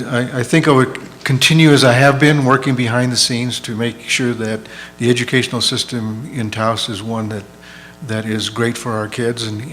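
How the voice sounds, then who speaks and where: A man school board candidate answering at a public forum, halting and restarting his phrases. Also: medium pitch, a bit bright, a little hiss or hum, poor audio.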